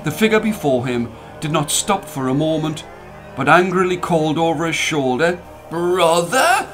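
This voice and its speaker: A man reading a story as a storyteller, expressive and dramatic, drawing out the ends of phrases. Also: fairly high pitch, normal speed, faint music behind. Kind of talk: expressive scripted story narration.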